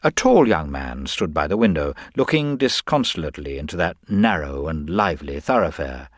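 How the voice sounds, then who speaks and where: A man narrating a scripted story in a wry, measured way. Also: a bass voice, sing-song, no room sound, clean studio sound.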